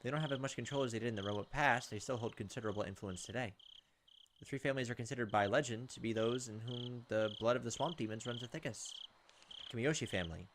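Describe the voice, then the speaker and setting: Fast-talking man reading a game aloud. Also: fairly low pitch, noisy background. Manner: pauses to comment on the text. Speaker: let's play host reading a visual novel aloud. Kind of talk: read-aloud narration with casual commentary.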